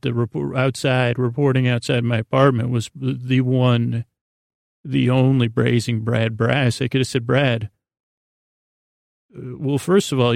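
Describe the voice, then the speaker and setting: A man hosting a sleep podcast with a rambling, improvised monologue, halting and circling back on himself in an unhurried, lulling way. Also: medium pitch, vocal fry, darkish tone, no room sound, clean studio sound. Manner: halting, meandering, self-interrupting, with trailing repetitions. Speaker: podcast host.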